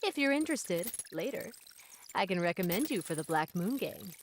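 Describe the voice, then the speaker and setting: A woman's voice: medium pitch, big pitch swings, normal speed, slight background noise, natural voice. An actress playing a cunning, confident female pirate second mate in an anime game, cool and persuasive. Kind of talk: English anime game voice acting.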